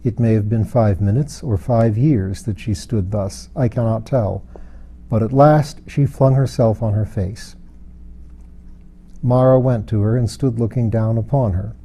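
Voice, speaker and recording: A man's voice: fairly low pitch, measured pace, warm tone, husky. A man reading a literary fantasy novel aloud as scripted audiobook narration. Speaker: audiobook reader.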